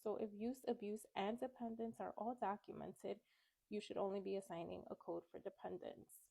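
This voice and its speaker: A woman's voice: mid-range voice, fairly even intonation, moderate pace, vocal fry, warm tone, dry recording. Young woman w a stuffy cold voice, chatty coding tutor, dry close sound. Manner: chatty, explains step by step. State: congested from a cold. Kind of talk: casual study walkthrough. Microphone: phone camera.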